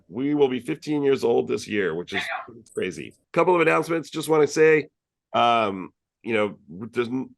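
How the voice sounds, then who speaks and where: A man hosting an online user group meeting, chatting casually through announcements with many fillers and restarts over a video call. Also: on the low side.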